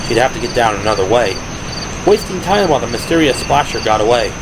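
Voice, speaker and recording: Male narrator for dramatic audio fiction. Medium pitch, scripted read, clear layer of night-insect noise behind. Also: big pitch swings, quick pace. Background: crickets and ambient sound effects.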